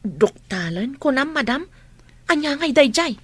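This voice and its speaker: A woman's voice: high-pitched, sing-song, measured pace, dry recording. A woman acting a chatty, nosy household helper in a radio comedy drama, inquisitive and exclaiming. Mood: curious, concerned.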